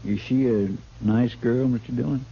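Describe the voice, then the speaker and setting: An actor playing a deferential, simple frontier sidekick, plain-spoken and hesitant, in 1950s radio western style. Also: male voice, mid-range voice, fairly even intonation, normal speed, vocal fry, breathy edge. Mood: earnest, concerned. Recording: muffled old radio recording, noisy.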